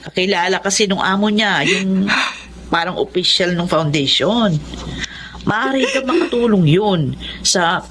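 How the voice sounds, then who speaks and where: An actress playing a kindly, conciliatory middle-aged mother, speaking gently in a Tagalog radio drama. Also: medium pitch, lively intonation, unhurried, a little hiss or hum. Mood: gentle, reassuring.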